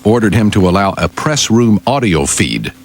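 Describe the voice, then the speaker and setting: Low-voiced, measured American radio newsman. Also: very animated. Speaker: radio newsreader and sidekick. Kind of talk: news reading mixed with casual banter. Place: radio studio.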